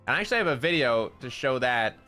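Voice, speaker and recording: Young male streamer, nasal, loud and slangy, bright edge. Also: mid-range voice, expressive, fast, soft background music. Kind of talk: casual stream chat.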